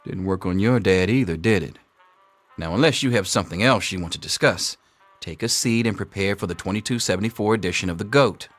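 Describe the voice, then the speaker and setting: An actor playing a dry, wry schoolteacher in a video game, sardonic and deadpan as he runs an exam. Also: low voice, faint music behind. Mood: wry, reassuring. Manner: deadpan, sardonic, drawn-out emphasis.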